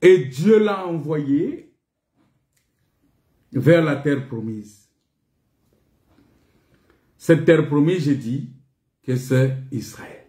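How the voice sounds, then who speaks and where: A man preaching a sermon in an emphatic, repetitive teaching manner, pausing on rhetorical questions. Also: fairly high pitch, dark tone. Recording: echoey, reverberant room.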